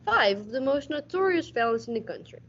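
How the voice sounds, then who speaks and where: A woman's voice: high voice, sing-song, a little fast. Radio-play lead: tough police detective teen woman acting, hardboiled narration, occasional stumbles, muffled audio. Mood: dry, hardboiled narration. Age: teens. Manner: stumbles and repeats words while reading. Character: hard-nosed, wisecracking male police detective. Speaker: school radio drama actor. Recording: dull audio with faint noise.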